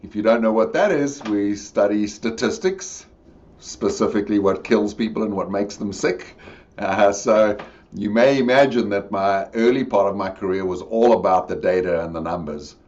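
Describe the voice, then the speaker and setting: A man's voice: on the low side, moderate pace, a little mellow. A middle-aged man with a South African accent, speaking as a podcast guest in a reflective, expansive way. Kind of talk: conversational interview. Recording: dull lo-fi audio.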